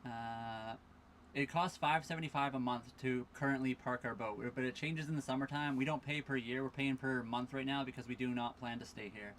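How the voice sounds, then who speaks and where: A man's voice: medium pitch, steady tone, fairly quick, fairly bright tone, a little hiss or hum. A tired young man casually chatting on a livestream from his sailboat at a marina, reading viewer questions aloud and thanking followers. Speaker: livestream host. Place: sailboat cabin at a marina dock.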